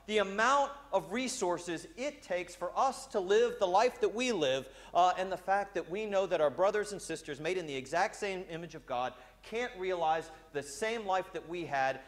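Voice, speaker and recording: A man in his thirties, a priest giving a sermon in a large church, speaking conversationally with frequent "uh" fillers. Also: sits high. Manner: gestures broadly while walking about.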